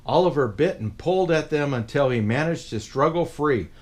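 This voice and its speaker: A man's voice: on the high side, expressive, normal speed, a little mellow. A man in a Santa persona reading a children's picture book aloud, with playful asides to his young listeners.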